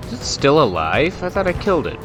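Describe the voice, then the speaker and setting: A man's voice: on the high side, very animated, fairly quick. A man doing casual, rambling gameplay commentary with informal, grumbling asides. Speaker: gamer commentating on own gameplay. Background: flight combat game sounds, explosions and music.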